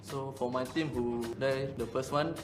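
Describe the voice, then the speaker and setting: A man's voice: medium pitch, fairly even intonation, moderate pace, music in the background. A man in his twenties, a support player, speaking in a plain run-on way in a team interview. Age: 20s. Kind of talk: pre-recorded team interview.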